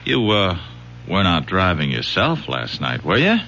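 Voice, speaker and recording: An actor playing a wily police detective who feigns friendliness, with a hesitant, folksy, repetitive way of talking. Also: male voice, low-pitched, wildly swooping pitch, normal speed, very noisy, exaggerated voice. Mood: slyly probing. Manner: hesitant, folksy, repeating himself. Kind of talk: 1970s radio drama acting. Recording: dull, humming radio drama recording.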